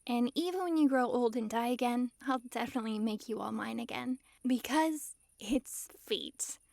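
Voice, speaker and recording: Woman doing a high voiced yandere fox girl, giggly and clingy, sweet but bossy, super dry intimate asmr sound. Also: moderate pace, vocal fry, no echo. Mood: tender, obsessive. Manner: sweetly controlling, giggly, swings between gushing and hushed coaxing. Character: possessive, lovestruck young-sounding fox-girl mage, dominant yet giddy. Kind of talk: intimate ASMR roleplay addressed to listener.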